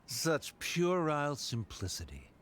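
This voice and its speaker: A man's voice: fairly high pitch, wildly swooping pitch, a little slow, a bit bright, a little hiss or hum, exaggerated voice. An actor playing a haughty, condescending man in a video game, aloof and disdainful.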